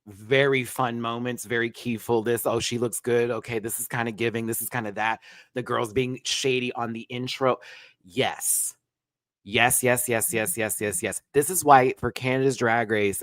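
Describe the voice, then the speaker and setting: Sassy, animated male drag reviewer. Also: no echo. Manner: animated and sassy, with big pitch jumps and laughs. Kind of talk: live review commentary.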